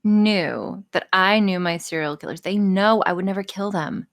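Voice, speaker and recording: A woman's voice: mid-range voice, moderate pace. A woman chatting casually on a podcast, joking around and swearing freely. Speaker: podcast guest, audio performer.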